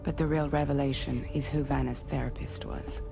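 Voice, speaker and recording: A woman playing a composed, serious character giving a briefing in a video game cutscene, with a husky, creaky voice. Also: extremely low pitch, steady tone, fairly quick, over music, full character voice. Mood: grave, measured.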